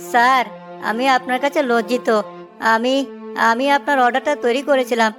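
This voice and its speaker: A woman's voice: fairly high pitch, steady tone, moderate pace, music in the background, natural voice. A woman voicing a humble, honest male samosa seller in a village cartoon. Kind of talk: cartoon story voice acting.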